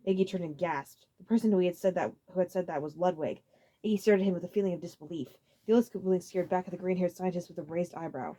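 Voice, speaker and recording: A woman reading a story aloud as a dramatic narrator, expressive, occasionally stumbling over a phrase. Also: fairly low pitch, fast talker. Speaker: audiobook narrator.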